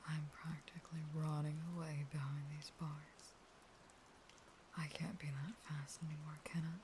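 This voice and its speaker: A woman playing a caged, starving vampire in an intimate audio roleplay, half-whispering in a slow, taunting, pleading and unstable way. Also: low-pitched, little pitch movement, darkish tone, slight background noise. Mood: bitter, taunting.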